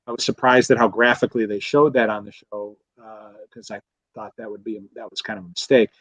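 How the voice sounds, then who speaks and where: A man in a casual film discussion as a guest expert, speaking in a halting, rambling way and often correcting himself. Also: fairly low pitch, fairly even intonation, no room sound, highs cut off. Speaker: guest expert, college professor.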